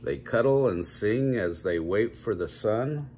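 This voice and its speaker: An older man reading a children's story aloud at home in measured, drawn-out storybook phrasing. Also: fairly low pitch, lively intonation, a little mellow, slight background noise. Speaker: grandpa reading a picture book aloud. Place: small home room, indoors.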